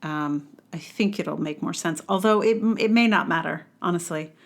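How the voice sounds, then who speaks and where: A woman's voice: fairly low pitch, moderate pace, creaky. Wry woman podcaster reading her book commentary. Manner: wry, sardonic delivery with exaggerated emphasis. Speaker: podcast host. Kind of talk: reading aloud a personal book-review blog.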